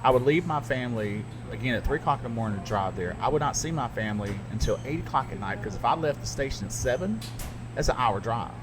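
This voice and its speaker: A man's voice: medium pitch, animated, fast, music in the background. A man hosting a casual podcast chat, telling stories candidly and emphatically, with plenty of restarts. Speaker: podcast host and radio broadcaster. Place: radio studio.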